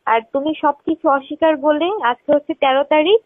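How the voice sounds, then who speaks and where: High-pitched woman on tinny phone. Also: steady tone, brisk, dry recording. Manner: insistent, questioning, repeating herself. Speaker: caller. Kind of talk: confrontational phone conversation. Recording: tinny narrowband phone call recording.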